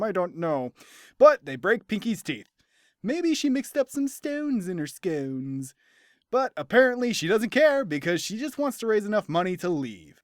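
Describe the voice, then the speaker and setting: A man giving an enthusiastic, animated scripted review as a cartoon episode commentator, with instrumental music behind him. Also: high-pitched, no room sound, clean studio sound. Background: instrumental background music.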